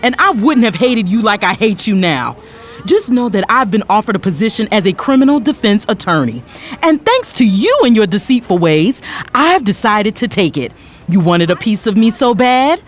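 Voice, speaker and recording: A woman's voice: mid-range voice, sing-song, moderate pace, faint music behind, close to an everyday voice. A woman playing a betrayed young attorney in an audio drama, biting and accusatory, wounded and scornful. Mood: cold, vengeful. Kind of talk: dramatic audio drama dialogue with first-person narration.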